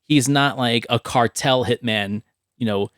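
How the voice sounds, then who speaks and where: A man's voice: medium pitch, measured pace, a bit bright, no echo. Conversational male podcast host, dry sound. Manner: conversational, tags lines with "right?", casual swearing. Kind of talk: casual movie chat.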